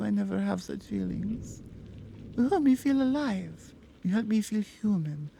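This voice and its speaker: Woman acting a tender, creaky-voiced Slavic mafiosa. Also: mid-range voice, mellow. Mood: confiding. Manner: halting foreign phrasing, murmuring, earnest. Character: tender Slavic mafia boss woman, devoted, quietly dangerous. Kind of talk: intimate ASMR roleplay.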